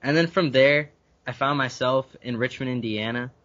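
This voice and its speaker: A young man from Guyana speaks as a podcast guest at a middle pitch. He talks earnestly and often repeats a word while he gathers his thoughts. The recording has a slightly dull, lo-fi sound. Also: normal speed, fairly bright tone. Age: young adult. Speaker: university student guest. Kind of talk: conversational interview answers.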